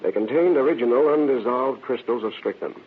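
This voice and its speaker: An actor playing a confident American criminologist with a husky voice, reporting formally and pedantically in an old radio drama. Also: male voice, mid-range voice, fairly quick, a little hiss or hum, lightly acted. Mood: deliberate, emphatic. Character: precise, confident criminologist reporting forensic findings. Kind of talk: old-time radio crime drama acting. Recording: tinny vintage radio recording.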